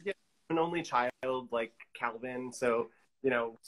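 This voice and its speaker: A man's voice: medium pitch, a little slow, fairly bright tone, rough recording. A middle-aged man chatting casually as a guest on a video call. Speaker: guest artist. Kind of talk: casual chat. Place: indoor room at home, on a video call.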